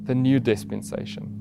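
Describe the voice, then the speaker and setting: A man whose first language is Afrikaans, preaching an earnest sermon with restarts and soft laughs. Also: medium pitch, vocal fry.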